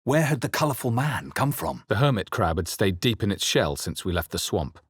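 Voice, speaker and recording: An actor playing a grave, weary and observant adventurer in a video game, speaking in a measured, dramatic, husky way. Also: male voice, fairly low pitch, big pitch swings, dry recording, studio quality. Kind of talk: scripted video game narration and dialogue.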